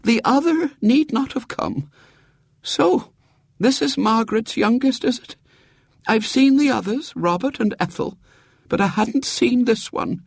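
A frail old great-aunt from a storybook. The voice is pitched high and sounds feeble. She is imperious and blunt as she sizes people up, recorded dry and close. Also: a little slow, creaky, slightly rough, no room sound, heavily acted. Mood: feeble, critical.

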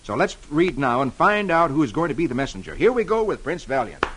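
Jolly, animated radio storyteller for children. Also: male voice, fairly high pitch, fairly quick, husky, full character voice. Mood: earnest. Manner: theatrical, emphatic, addresses children directly. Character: jolly comic-reading man, friendly storyteller for children. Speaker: host reading newspaper comics to children. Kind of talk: old-time radio children's storytelling, dramatic narration. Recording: old radio broadcast with music behind.

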